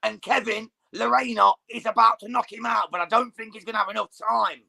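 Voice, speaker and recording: High-pitched, gravelly man. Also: steady tone, fairly quick, a loud crowd. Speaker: co-host commentating on boxing. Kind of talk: casual live fight commentary.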